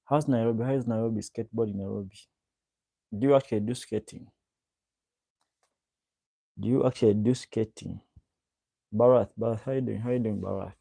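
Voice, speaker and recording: A man's voice: fairly low pitch, fairly even intonation, fairly quick, vocal fry, long pauses, dark tone, slightly rough, dry recording. A young man from Uganda hosting a casual livestream, greeting viewers by name and repeating his questions. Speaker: livestream host. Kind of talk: casual livestream chat reading comments.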